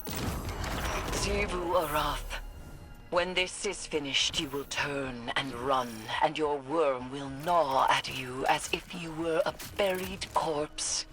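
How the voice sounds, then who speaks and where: A woman playing a vengeful, resolute dark sorceress in a video game, speaking deliberately and ominously in formal phrases. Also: sits low, music in the background. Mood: menacing. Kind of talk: dramatic video game acting.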